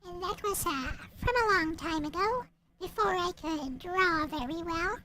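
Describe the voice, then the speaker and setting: A woman with a small, childlike voice chatting casually and haltingly on a livestream about her own writing. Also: extremely high pitch, noisy background. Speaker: streamer and visual novel writer. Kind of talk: casual livestream chat showing own work. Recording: loud music behind the voice. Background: loud music and game sound effects.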